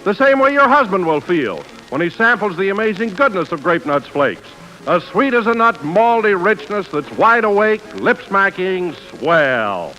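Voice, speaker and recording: A man's voice: sits high, very animated, normal speed, sharp tone, soft background music, low-quality recording. A man working as a 1940s radio announcer and comic sidekick, with a hearty, grandiose delivery. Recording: hissy vintage radio recording.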